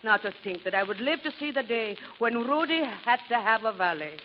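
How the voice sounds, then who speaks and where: A man playing a brash, blunt, seagoing old tugboat woman in a 1940s radio comedy, rough and wisecracking. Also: high voice, animated, brisk. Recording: dull old radio recording, faint hiss.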